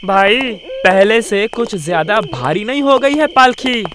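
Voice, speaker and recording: A woman voicing a concerned, puzzled male palanquin bearer in an animated story. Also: mid-range voice, a little slow, crowd noise, natural voice.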